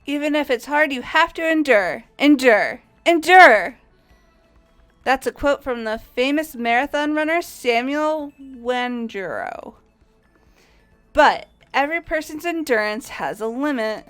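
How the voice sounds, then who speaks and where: A woman voicing an energetic, athletic teenage girl obsessed with donuts, gushing and emphatic, in a fan-voiced visual novel game. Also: sits high, a little slow, faint music behind. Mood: earnest, determined.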